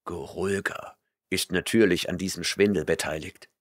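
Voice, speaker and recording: Man doing a gruff barbarian warrior, low growl, quiet, impatient, menacing, audiobook. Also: steady tone, moderate pace.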